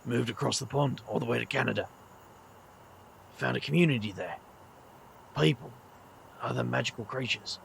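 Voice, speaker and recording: An actor playing a weary, kindly middle-aged British magician with a gravelly voice and a slangy, wry way of talking in an audio drama. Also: male voice, mid-range voice, moderate pace, pauses often, fairly bright tone, a little hiss or hum, poor audio. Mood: reflective.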